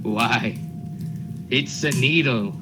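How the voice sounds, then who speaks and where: An earnest, officious Puritan lawman acted by a man in a radio drama. His mid-pitched voice is formal, rustic and insistent. Music plays behind him. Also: very slow. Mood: alarmed. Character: Cheever, earnest, officious male court officer serving warrants. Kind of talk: radio play acting.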